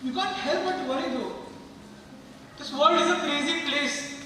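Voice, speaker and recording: A man's voice: very high voice, normal speed, recorded from a distance, background noise. A man acting in a stage play as a chatty, caring nurse and worried parent. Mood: anxious. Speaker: amateur stage actor. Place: stage in a large hall. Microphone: distant microphone. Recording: very echoey hall from afar, music.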